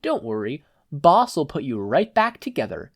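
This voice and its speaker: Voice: mid-range voice, wildly swooping pitch, a little slow, voice pushed up, heavily acted. A narrator voicing a brutish, smug male yakuza henchman with a blunt, threatening manner. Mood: smug threat.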